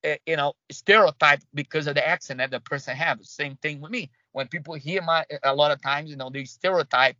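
Brazilian man, accented English, stop-start interview guest, higher voice, dry recording. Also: pushed and bright, no room sound, dull audio. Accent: Brazilian Portuguese. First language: Portuguese. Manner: halting, many restarts and fillers. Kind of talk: conversational interview.

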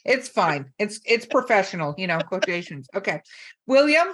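A woman's voice: medium pitch, sing-song, normal speed, background noise. A woman hosting a casual author panel, teasing her guests and bantering informally as she moderates.

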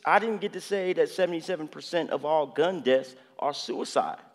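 A man's voice: on the high side, normal speed. An actor playing a cocky, wry progressive president in a radio play, casual and teasing in his delivery. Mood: exasperated. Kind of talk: conversational radio play dialogue. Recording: long reverberant hall sound.

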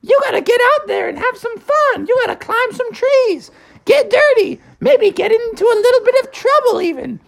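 A woman voicing a bossy mother frog in a children's storybook, nagging in a fast chirpy voice. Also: extremely high pitch, lively intonation, gravelly, voice pushed up, full character voice, poor audio.

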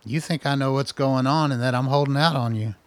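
An actor in a radio drama playing a wry, defensive middle-aged scientist who talks in an earnest, exasperated way. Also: male voice, mid-range voice, fairly quick, no echo, lightly acted. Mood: wry, guarded. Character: defensive, wry male scientist doctor, anxious and conspiracy-minded. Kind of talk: naturalistic radio drama acting.

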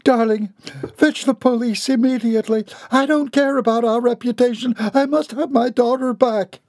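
A man's voice: high voice, big pitch swings, takes it slowly, darkish tone, husky, no room sound, studio quality, pitched above the performer's own voice, heavily acted. A man voicing a desperate, pleading wealthy mother in an audiobook, with a wailing manner. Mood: distraught.

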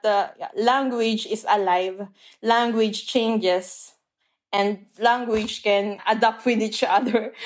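A woman from the Philippines with a Filipino accent, whose first language is Tagalog. She is a language teacher who explains things earnestly in a casual interview, pausing often with "uh". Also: mid-range voice. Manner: earnest, explanatory, frequent "uh" pauses.

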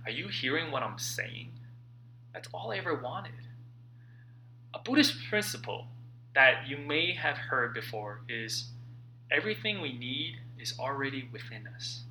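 A man narrating his own self-help book in an expressive, earnest and emphatic way, with dramatic phrasing and pauses. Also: mid-range voice, creaky, a little hiss or hum, rough recording. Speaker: author reading own book.

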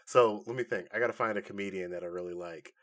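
Casual bright-voiced male podcast co-host. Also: on the low side. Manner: informal, halting as he thinks aloud. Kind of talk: casual chat, pitching comic movie ideas.

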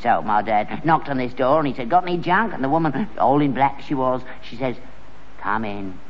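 Sitcom role: male actor as crafty old Cockney father, lively swings in pitch, stammering and scoffing, on a dull archive recording. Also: moderate pace, in a large hall, close to an everyday voice. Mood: gleeful storytelling. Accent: London Cockney. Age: older. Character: stubborn, crafty old working-class London father. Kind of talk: vintage British sitcom acting.

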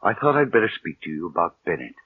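A respectful, formal household servant in an old radio thriller. His voice is low and husky, with a lot of movement in pitch. The recording sounds thin and tinny. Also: sing-song, fast, heavily acted. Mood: polite, concerned. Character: deferential, grave male household servant. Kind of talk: 1940s-50s American radio suspense acting.

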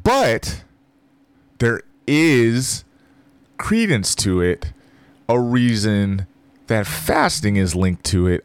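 A man hosting a podcast, talking loosely and jokingly to the listener, explaining fasting in a casual monologue. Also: fairly low pitch, no room sound. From Philippines.

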